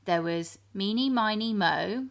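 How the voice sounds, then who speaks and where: A woman reading a storybook to young children in an animated, expressive manner, addressing her listeners directly. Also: medium pitch, unhurried, vocal fry.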